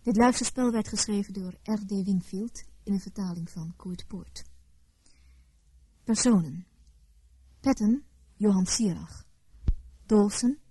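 Slow, soft-toned woman announcer. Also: mid-range voice, husky, no room sound, low-quality recording. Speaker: announcer reading radio play credits. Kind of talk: formal scripted announcement.

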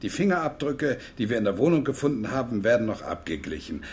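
An actor playing a probing police investigator in a radio crime drama, speaking in a measured, insinuating way with pointed pauses. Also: male voice, medium pitch, big pitch swings, darkish tone, slight background noise. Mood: probing, dry.

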